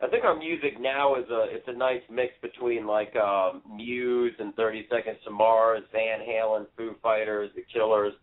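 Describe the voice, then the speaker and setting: A man's voice: lowish voice, fairly even intonation, normal speed, few pauses. A male band member as a guest in a casual interview, speaking earnestly and rambling with many fillers. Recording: tinny phone-line sound.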